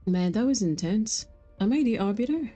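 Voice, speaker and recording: A woman's voice: medium pitch, expressive, normal speed, dark tone. A woman streaming video games, chatting casually with viewers and reacting to the game. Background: faint game music.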